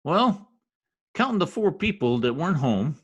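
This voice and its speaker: A man's voice: a little high, very animated, normal speed, husky, muffled recording. A man playing a folksy, bumbling middle-aged American husband in a radio comedy, slangy and pompous. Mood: self-important. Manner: slangy, pompous, mangles words. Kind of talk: old-time radio comedy acting.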